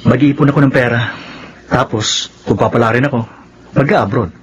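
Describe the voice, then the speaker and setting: A man's voice: mid-range voice, normal speed, muffled recording, natural voice. An actor playing an ambitious young man, an eldest son and brother, in a Filipino radio drama. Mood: respectful, hopeful. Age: young adult. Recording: faintly noisy radio drama track.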